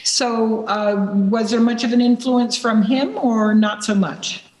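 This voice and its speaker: Higher-voiced woman in a roomy space. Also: takes it slowly, a little mellow. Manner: curious, encouraging questions. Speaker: summit host interviewer. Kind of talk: interview questions.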